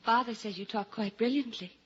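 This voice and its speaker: An actress playing a gentle, compassionate young gentlewoman in an old-time radio drama, earnest and melodramatic in delivery. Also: fairly high pitch, fairly quick, breathy edge. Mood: gently polite. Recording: dull, noisy vintage radio recording.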